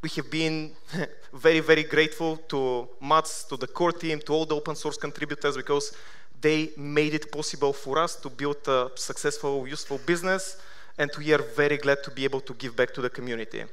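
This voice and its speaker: A man in his thirties from Bulgaria with a Bulgarian accent, giving a conference keynote with self-deprecating deadpan humor. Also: on the high side, fairly quick, hardly any pauses, clean tone. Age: 30s. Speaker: conference speaker. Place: large conference hall.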